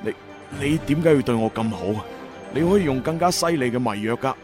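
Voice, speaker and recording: An earnest captive policeman played by a man speaks in an animated, pleading way. It is radio-drama acting, with prominent music behind. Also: mid-range voice, quick pace, natural voice. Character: earnest, anxious captive male police officer.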